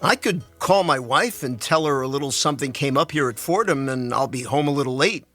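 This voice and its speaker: A man's voice: medium pitch, brisk, sharp tone, faint music behind. A man playing a genial, affable man in a conversational dramatic reading of a novel. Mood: intrigued. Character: genial married man, politically minded science fiction fan.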